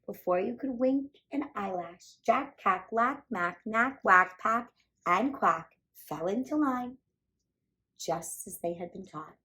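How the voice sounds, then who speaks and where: A woman hosting a children's storytime, reading a picture book aloud with animated, playful emphasis. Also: mid-range voice, takes it slowly, rough recording. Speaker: children's storytime reader and host.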